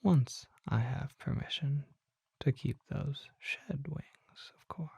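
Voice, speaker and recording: Mysterious vampire merchant for ASMR audio drama, acted out: very breathy whisper, slow and mellow, formal and gently courteous. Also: medium pitch, no room sound, full character voice. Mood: gentle, courteous. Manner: formal, polite, unhurried, old-fashioned phrasing.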